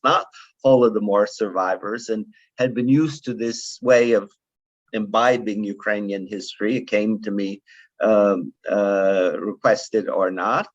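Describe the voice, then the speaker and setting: A man in his seventies giving closing remarks at a conference, speaking in a measured, digressive way with frequent fillers and restarts. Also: medium pitch, a bit bright, dry recording. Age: 70s. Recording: dull lo-fi sound, dry.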